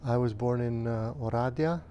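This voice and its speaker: A man in his fifties from Romania, recalling his childhood in an interview at a measured, hesitant pace with frequent pauses and fillers. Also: mid-range voice, mellow, slight background noise. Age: 50s. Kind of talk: recounting childhood memories.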